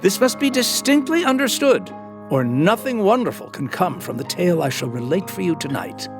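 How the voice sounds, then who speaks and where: Genial radio-play storyteller, confiding, dramatic, animated, higher-pitched, guitar music behind. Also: male voice, moderate pace. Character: genial storyteller of a Christmas ghost tale. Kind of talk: theatrical storytelling narration.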